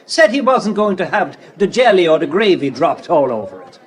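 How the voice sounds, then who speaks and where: An actor playing a cheerful, chuckling show performer in a children's audio drama. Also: male voice, fairly high pitch, big pitch swings, moderate pace, some chatter behind. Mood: amused. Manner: jovial, chuckling.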